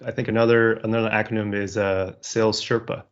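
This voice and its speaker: A man hosting a podcast, asking casual interview questions in a relaxed way with small restarts. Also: fairly low pitch, a little fast, smooth. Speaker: podcast host. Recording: slightly dull lo-fi audio.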